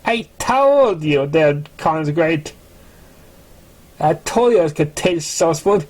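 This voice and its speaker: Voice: medium pitch, animated, takes it slowly, a little mellow, pitched above the performer's own voice. A narrator voicing a snooty young rich pony bully, mocking and taunting in a storybook reading.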